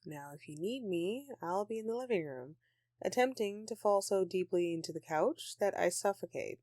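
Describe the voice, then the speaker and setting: A woman's voice: medium pitch, animated, normal speed, darkish tone, no echo, studio quality, natural voice. A woman playing a grumpy but affectionate Christmas elf in an intimate audio roleplay, with a sarcastic, dramatic, sighing delivery. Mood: sarcastic, sulky.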